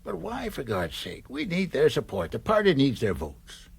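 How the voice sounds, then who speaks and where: Husky, cajoling political fixer. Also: male voice, medium pitch, wildly swooping pitch, normal speed, exaggerated voice. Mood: pleading. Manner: hesitant, pleading, cajoling. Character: anxious American party fixer, cajoling adult man. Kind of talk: radio drama acting.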